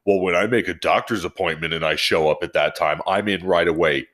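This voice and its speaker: Low-voiced American man venting casually. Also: brisk, fairly bright tone. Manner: blunt, profane, emphatic. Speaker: podcast co-host; auto service advisor. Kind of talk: casual group chat, venting.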